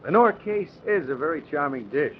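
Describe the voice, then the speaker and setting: A man's voice: on the high side, expressive, a little fast, noisy background, natural voice. A man acting a breezy, slangy, wisecracking crime reporter in a 1940s radio drama. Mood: wry, breezy. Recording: muffled old radio recording, crowd murmur.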